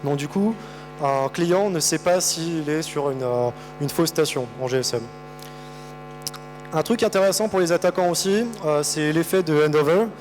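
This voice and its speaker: A man giving a technical talk to an audience in a halting, hesitant and informal way. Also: a little high, over music, rough recording. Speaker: conference speaker.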